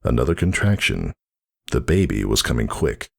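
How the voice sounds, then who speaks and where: A man's voice: a bass voice, very animated, normal speed, creaky. A man narrating a fantasy story in a dramatic, scripted reading style.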